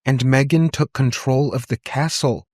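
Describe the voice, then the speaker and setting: Frightened little girl played by a man, raised pitch, hesitant, earnest, distressed storybook character. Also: steady tone, measured pace, darkish tone, dry recording, clean studio sound, natural voice. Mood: tearful, distressed. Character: frightened little girl, tearfully recounting a nightmare.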